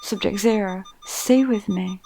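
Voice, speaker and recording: A woman playing a clinical female vampire scientist in an ASMR roleplay, with a whispery, breathy voice and deliberate delivery. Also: medium pitch, mellow, soft background music, rough recording. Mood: urgent, alarmed. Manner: slow, soothing, deliberate. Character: calm, clinical female vampire scientist overseeing a sinister experiment.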